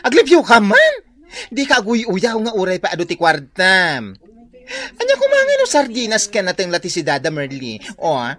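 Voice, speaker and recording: A woman's voice: medium pitch, huge pitch swings, normal speed, fairly bright tone. A woman with an Ilocano accent playing a gossipy, placating beautician in a radio drama, exclamatory and theatrical. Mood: exasperated.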